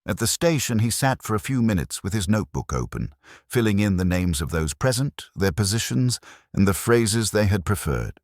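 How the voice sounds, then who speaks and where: A man narrating a mystery story from a script in a measured, precise, literary manner. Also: fairly low pitch, big pitch swings, no room sound, clean studio sound.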